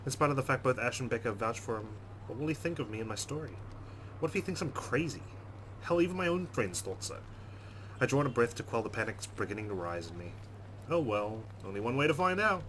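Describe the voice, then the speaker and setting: A man's voice: medium pitch, animated, fast talker, fairly bright tone, slight background noise, poor audio. A man hosting a let's play, reading game narration aloud and adding casual commentary. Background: faint game music.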